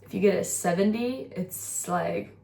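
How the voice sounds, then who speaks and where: Young adult female, American accent, slightly low register; casual student-vlogger delivery. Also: a little slow, vocal fry, mellow, rough recording. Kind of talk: casual vlog chat with voice-over recaps.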